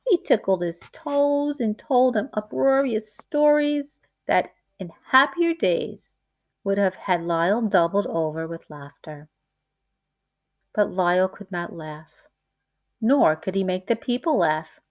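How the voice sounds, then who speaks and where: Slow-reading woman telling a children's story. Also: mid-range voice. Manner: deliberate, expressive storytelling. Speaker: teacher reading aloud to children. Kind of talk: children's picture book read-aloud.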